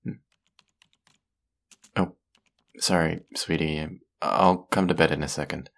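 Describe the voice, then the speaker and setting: A young man acting a tired, overworked, affectionate college boyfriend in an intimate roleplay audio, speaking softly and drowsily with hesitations and sighs. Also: rumbling low, fairly even intonation, slow pace, creaky, exaggerated voice. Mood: sleepy, affectionate. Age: young adult.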